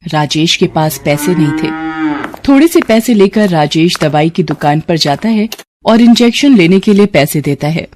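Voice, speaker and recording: A woman's voice: lowish voice, lively intonation, moderate pace, a little mellow. A woman narrating a scripted moral story as its storyteller. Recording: clear background noise.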